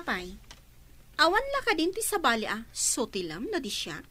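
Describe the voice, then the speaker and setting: A woman's voice: sits high, wildly swooping pitch, moderate pace, sharp tone, exaggerated voice. A woman acting a spoiled, quarrelsome, whiny schoolgirl in an Ilocano radio drama. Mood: defiant, angry.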